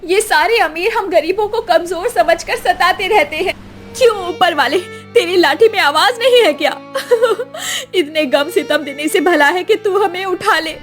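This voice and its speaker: A woman's voice: very high-pitched, measured pace, soft background music, pitched above the performer's own voice. A woman acting a poor, long-suffering wife and mother in a cartoon moral story, tender, plaintive and emotional. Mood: anguished.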